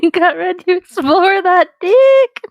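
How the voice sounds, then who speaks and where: A woman's voice: very high voice, expressive, measured pace, dry recording, studio quality. A woman bantering casually and reading aloud with friends, in a playful, chaotic manner. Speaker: co-reader on a group creepypasta reading show. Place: online voice chat.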